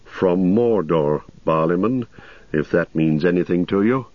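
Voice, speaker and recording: A man voicing a grim, watchful ranger who speaks low, slow and measured. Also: no room sound, muffled recording. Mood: grave. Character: grim, watchful male ranger, steady and guarded.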